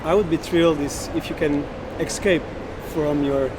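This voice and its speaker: A man from Portugal with a Portuguese accent, explaining things as a dermatologist being interviewed, often pausing mid-sentence. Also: a little high, fairly even intonation. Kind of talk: conversational interview answers. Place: congress exhibition floor. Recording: loud busy congress-floor noise.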